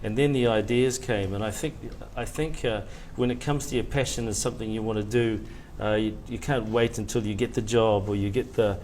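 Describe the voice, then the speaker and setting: Low-voiced man, mixed Fiji-Kiwi accent, noisy audio. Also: steady tone, a little fast, few pauses, darkish tone. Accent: mixed Fiji and New Zealand, South African-sounding. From Fiji and New Zealand. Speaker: interview guest, author. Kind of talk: casual interview talk.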